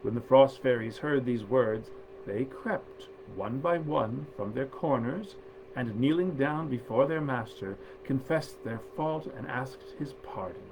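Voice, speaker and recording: A man reading nineteenth-century fairy tales aloud in a measured, expressive storyteller's manner. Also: mid-range voice, noisy background. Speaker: actor reading stories aloud.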